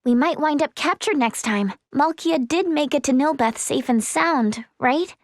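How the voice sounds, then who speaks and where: A woman voicing an earnest, eager, slightly nervous young girl adventurer in a video game, with a girlish, expressive delivery. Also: high-pitched, unhurried, no echo, clean studio sound. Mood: determined. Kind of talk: video game voice acting, animated anime-dub delivery.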